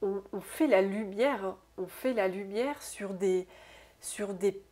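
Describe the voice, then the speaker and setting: A woman speaks through a congested nose as she reads oracle cards for her viewers. Her voice sits in a middle register, and she talks slowly and softly, musing over each card and dropping in small "hein" tags along the way. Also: medium pitch. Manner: soft, unhurried, musing aloud with frequent "hein". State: stuffy nose from a cold. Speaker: soul therapist and oracle card reader.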